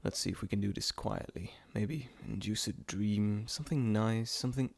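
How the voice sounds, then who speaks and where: A man's voice: fairly low pitch, normal speed, vocal fry, darkish tone, no room sound. A man playing a glib, dry-sounding trickster god. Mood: musing, hushed. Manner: chatty, theatrical, teasing, self-interrupting. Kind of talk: scripted audio roleplay addressed to the listener.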